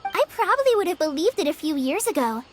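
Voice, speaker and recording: A woman's voice: extremely high pitch, big pitch swings, fast talker, noisy background. A woman voicing an earnest, shy teenage girl forest ranger in a video game, speaking politely and a little hesitantly. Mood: earnest, unsure. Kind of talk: video game cutscene acting.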